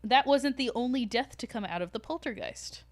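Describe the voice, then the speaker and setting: A woman's voice: a little high, expressive, moderate pace. A woman co-hosting a podcast, telling stories in a casual, conversational, informal way and swearing freely. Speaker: podcast co-host.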